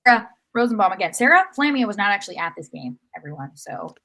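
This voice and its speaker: A woman's voice: medium pitch, big pitch swings, quick pace, pushed and bright. A woman co-hosting a podcast, giving casual, conversational soccer analysis. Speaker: podcast co-host.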